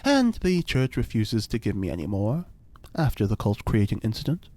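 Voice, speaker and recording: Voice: medium pitch, big pitch swings, moderate pace, darkish tone, no room sound. An actor playing an eccentric, pompous mad scientist and cult-style ritual leader in a podcast drama, speaking in a grandiose, pedantic way. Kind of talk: audio fiction podcast acting.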